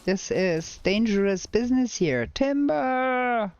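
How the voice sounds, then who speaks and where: Slow-talking German woman streamer. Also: on the high side, animated, a little mellow, muffled recording, slight background noise. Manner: slow, thinking aloud while playing. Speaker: game streamer. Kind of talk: casual gameplay commentary with chat replies.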